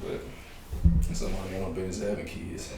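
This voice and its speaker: A man hosts a podcast in a low voice, telling stories at an easy pace. He sounds far from the mic in a very echoey room with faint hiss. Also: a little fast. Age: 30s. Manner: relaxed, storytelling with quoted voices. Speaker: podcast host. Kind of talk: casual chat. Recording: roomy echo, distant mic, faint hiss.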